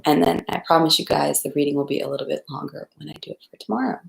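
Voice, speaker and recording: A woman's voice: deep voice, steady tone, fast, gravelly, reverberant, rough recording. A woman hosting an informal read-aloud, chatting casually and narrating a story expressively. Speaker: host reading a novel aloud for online viewers.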